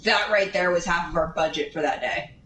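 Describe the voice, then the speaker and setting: A woman casually telling a rambling anecdote in an animated way, drifting into tangents and acting out what people said. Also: on the low side, fairly quick. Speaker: storyteller. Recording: roomy, distant mic, steady background noise.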